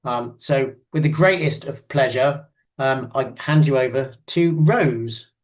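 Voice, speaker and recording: A man hosting an evening event, reading a formal introduction of the guest speaker in a measured way. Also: mid-range voice. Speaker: event host.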